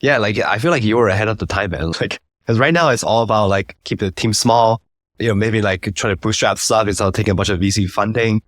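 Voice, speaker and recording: A man with a lowish voice hosts a casual interview. He talks quickly and informally, phrasing things loosely. The recording is very dry. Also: a bit bright, no echo. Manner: informal, frequent "like" and tag questions. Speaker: interview host. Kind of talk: casual chat.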